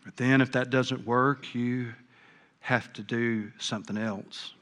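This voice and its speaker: A man's voice: medium pitch, steady tone, slow pace, fairly bright tone. An older man preaching a sermon, earnest and folksy, with a big voice. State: a bit hard of hearing. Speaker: pastor.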